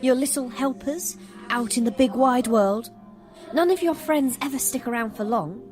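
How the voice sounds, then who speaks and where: A woman playing a taunting, secretly protective little brother in an audio drama, speaking deliberately. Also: high-pitched, close to an everyday voice. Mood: needling. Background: music.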